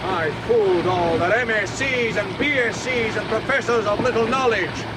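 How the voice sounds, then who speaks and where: Actor playing a boastful technician at sea. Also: male voice, high voice, moderate pace, very noisy, lightly acted. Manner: boastful, then menacing. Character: proud scheming Scottish lab technician, man. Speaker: lab technician in a fraud scheme. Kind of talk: radio drama acting. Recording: loud sea and boat engine effects.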